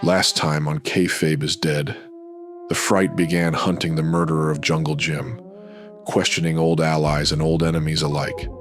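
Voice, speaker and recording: A man's voice: very deep voice, a bit bright. A man delivering scripted noir radio drama narration in a brooding, dramatic manner.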